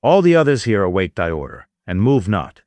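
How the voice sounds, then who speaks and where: A man's voice: on the low side, huge pitch swings, brisk, a little mellow, no echo. A man playing an eloquent old chief in an epic audio drama, speaking with formal, pleading oratory. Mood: earnest, urging. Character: eloquent old chief counselling his king, courteous, urgent.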